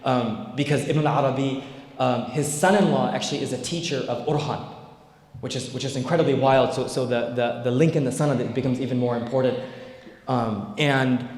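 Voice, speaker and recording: A man's voice: medium pitch, fairly quick, darkish tone. A young man giving an informal history lecture as an Islamic scholar, talking conversationally with many fillers and tag questions. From Bay Area, United States. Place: large echoey hall. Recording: echoey hall with long reverb.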